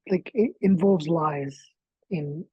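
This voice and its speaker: Woman, from Egypt, low, dark, measured debate, dull lo-fi recording. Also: slightly rough, no room sound. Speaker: interview guest. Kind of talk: casual debate.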